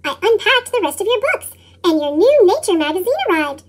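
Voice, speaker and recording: A woman voicing a gentle, reassuring storybook mother through a pitched-up chipmunk effect. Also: sing-song, a little fast.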